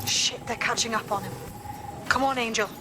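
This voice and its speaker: A woman's voice: a little high, fairly even intonation, normal speed, noisy background, lightly acted. A woman playing a tough, cocky hacker operative in a video game, speaking bluntly and sardonically. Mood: tense.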